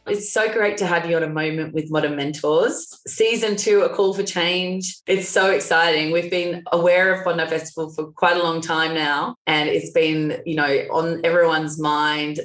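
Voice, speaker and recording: A woman's voice: low-pitched, a bit bright. A woman hosting a podcast, introducing a guest and asking questions in an upbeat, enthusiastic way. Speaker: podcast host. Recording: roomy echo, faint music.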